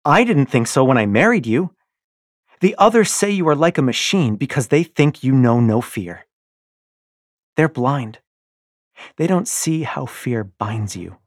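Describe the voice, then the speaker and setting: A man voicing a passionate young rebel wife, speaking urgently and pleadingly with a breathy tone. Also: on the high side, sing-song, slow pace, no room sound, studio quality, pitched above the performer's own voice, full character voice. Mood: scornful.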